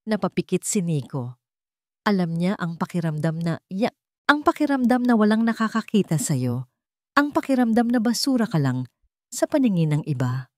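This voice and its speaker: A woman's voice: medium pitch, very animated, moderate pace, warm tone, dry recording, clean studio sound. A woman reading a scripted story as a dramatic narrator.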